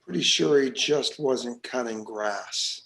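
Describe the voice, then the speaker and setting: An actor in a screenplay table read playing a guarded, cynical police detective, brisk and matter-of-fact. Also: male voice, medium pitch, vocal fry, a bit bright, poor audio. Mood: wry, cynical.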